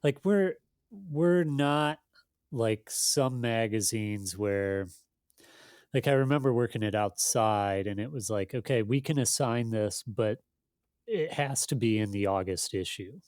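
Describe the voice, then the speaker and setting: A man speaking as an interviewed editor, casual and halting, thinking aloud with lots of conversational fillers. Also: medium pitch, darkish tone, dry recording, clean studio sound. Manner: thinking aloud, halting, peppered with "you know" and "like". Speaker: magazine editor being interviewed.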